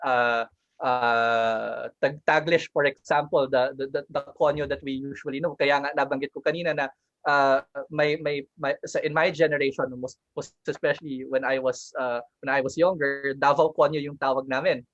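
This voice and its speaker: A man's voice: medium pitch, fairly even intonation, normal speed, a bit bright, dry recording, dull audio. A man from Southern Mindanao speaking on an academic panel over a video call, switching between Filipino and English with many fillers and repeated words. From Southern Mindanao, Philippines.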